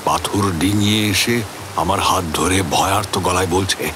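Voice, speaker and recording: A man's voice: sits low, a little slow, background noise. An actor playing an older man recounting an eerie experience in a theatrical, reflective audio drama monologue. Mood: awed, suspenseful. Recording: music behind the voice.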